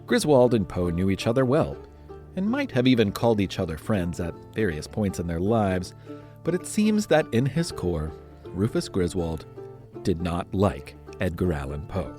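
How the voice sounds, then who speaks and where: Male kids' history podcast narrator: lowish pitch, highly varied intonation, conversational yet playfully dramatic. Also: big pitch swings, moderate pace, dark tone, soft background music.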